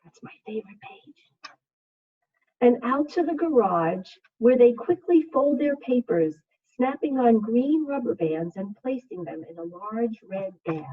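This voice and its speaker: Female primary teacher, slightly high and breathy-rough, measured children's read-aloud delivery, narrow video-call sound. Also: expressive. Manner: measured, simple phrasing for young listeners. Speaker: second grade teacher. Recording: muffled, phone-like video call audio.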